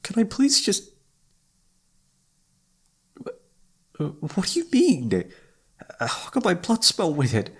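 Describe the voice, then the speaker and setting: A man playing a shy, flustered young incubus with a husky, slightly creaky voice, stammering and hesitant in a romantic ASMR audio roleplay. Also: sits high, wildly swooping pitch, unhurried, heavily acted. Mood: flustered, anxious. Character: shy, flustered young male incubus roommate confessing his crush.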